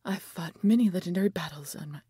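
A woman voicing a proud, haughty wounded female dragon in a fantasy audio roleplay, with breathy, husky, imperious delivery. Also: fairly low pitch, warm tone, no room sound, studio quality. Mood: angry, defiant. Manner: imperious, theatrical, deliberate phrasing.

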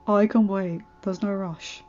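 A woman's voice: medium pitch, fairly even intonation, unhurried, darkish tone, muffled recording, close to an everyday voice. A woman voicing a cool, casual, reassuring man, a superhero mentor, in an audiobook story with an offhand confidence. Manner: laid-back, offhand, confident. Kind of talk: fan fiction audiobook character voice. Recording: band-limited audio with background music.

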